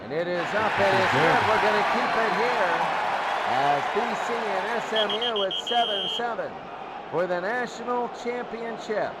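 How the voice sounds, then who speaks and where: A man doing excitable play-by-play American football commentary in short, clipped phrases. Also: high voice, moderate pace, very noisy. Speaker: play-by-play sports announcer. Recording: loud crowd and music behind. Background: crowd and music.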